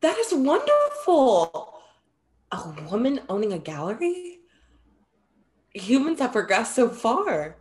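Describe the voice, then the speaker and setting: A woman's voice: high voice, wildly swooping pitch, slow pace, long pauses, darkish tone, heavily acted. A young woman playing a proud, playful Greek muse with theatrical, formal wording in a play reading. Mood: assertive. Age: young adult. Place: indoor room, video call.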